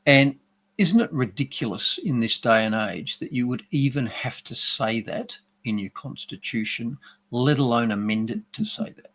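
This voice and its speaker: A man giving opinionated news commentary in a dry, sardonic, measured way. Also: medium pitch, a bit bright. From Australia. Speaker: regular commentator.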